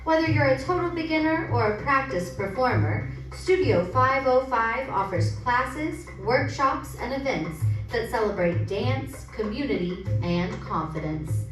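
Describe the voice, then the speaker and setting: A woman reads a playful promotional script in a theatrical way. Her voice is fairly high and swoops expressively. She is distant from the mic in a very echoey room. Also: expressive, a little slow, hardly any pauses, faint music behind. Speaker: advertisement reader.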